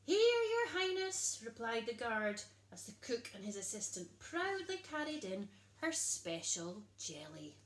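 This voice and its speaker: A woman, reading a children's picture book aloud in an animated storytelling style. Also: mid-range voice, unhurried, few pauses, slight background noise. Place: small child's bedroom, indoors. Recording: echoey small room.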